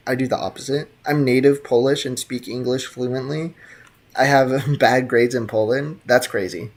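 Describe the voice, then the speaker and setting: A man's voice: mid-range voice, steady tone, measured pace. A young man streaming a video game, chatting casually with viewers in a laid-back, slangy way and laughing often. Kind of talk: casual chat reading live chat.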